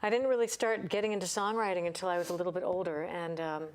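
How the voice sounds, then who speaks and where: A woman's voice: fairly low pitch, fairly even intonation, quick pace. Chatty woman singer-songwriter in her fifties. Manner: chatty, candid and talkative. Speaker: guest singer-songwriter and vocal coach. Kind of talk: casual interview chat.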